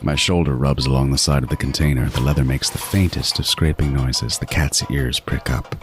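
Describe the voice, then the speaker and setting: An actor playing an obsessive, predatory serial killer narrating a horror audio drama, brooding and intense, slipping into whispers. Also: male voice, rumbling low, steady tone, moderate pace, music in the background. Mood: menacing.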